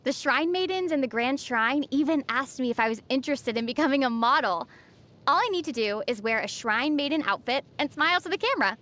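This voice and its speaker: A woman playing a gentle, thoughtful young female traveler in video game dialogue, speaking in a measured, soft-spoken way. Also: high voice, expressive. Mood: calm, friendly. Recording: faint music under the voice. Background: faint background music.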